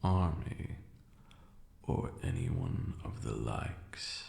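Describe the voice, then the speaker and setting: Man voicing a very deep, gravelly vampire lord. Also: very animated, a little mellow, reverberant, full character voice. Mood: solemn, protective. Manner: slow, teasing, formal old-fashioned phrasing. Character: ancient vampire lord, male, haughty yet tenderly protective. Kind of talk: ASMR roleplay, soft and close.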